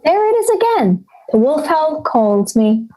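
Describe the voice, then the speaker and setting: A young woman acting a lonely, fearful girl who voices brooding inner thoughts in halting phrases in an audio drama. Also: sits high, big pitch swings, mellow, a little hiss or hum, poor audio. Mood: wistful, uneasy.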